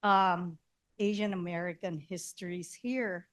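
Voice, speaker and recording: A woman's voice: on the low side, steady tone, unhurried, a bit bright. A woman with a Filipino accent from the Philippines, politely asking a panel a question from the audience on a handheld mic. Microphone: handheld microphone passed through the audience.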